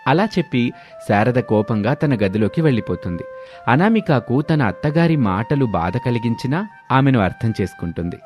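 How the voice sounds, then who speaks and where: A man's voice: mid-range voice, sing-song, moderate pace, darkish tone. A man narrating a scripted moral story as a storyteller. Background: music.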